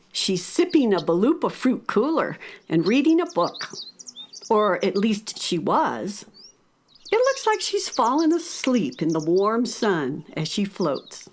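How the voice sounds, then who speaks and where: A woman narrating a scripted children's story in an expressive storytelling manner. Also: on the high side, a little hiss or hum. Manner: storytelling, wonder-filled.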